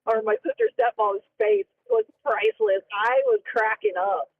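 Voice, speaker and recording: Super high voice guy telling a story, bouncy and animated, kinda muffled lo-fi mic. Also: slight background noise. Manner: laughing storyteller, quick reactions. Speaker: streamer. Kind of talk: casual chat. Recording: dull lo-fi mic.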